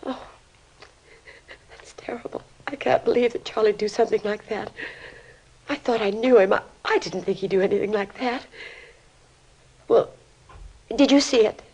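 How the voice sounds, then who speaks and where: Fragile, husky-voiced grieving American mother. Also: mid-range voice, moderate pace, pauses often, darkish tone, low-quality recording. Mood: dazed, sorrowful. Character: distraught, grieving mother of a teenage boy, disbelieving. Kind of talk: old radio crime drama acting.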